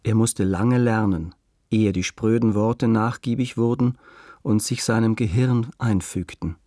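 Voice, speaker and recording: A man narrating a literary radio play in short, weighted, halting phrases. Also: on the low side, mellow, dry recording, studio quality.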